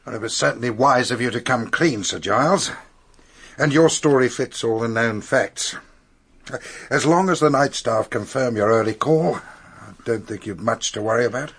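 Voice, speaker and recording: An actor playing a courteous police superintendent in a radio drama, with a British accent and a formal, measured manner. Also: male voice, medium pitch, a bit bright, breathy edge. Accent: British English.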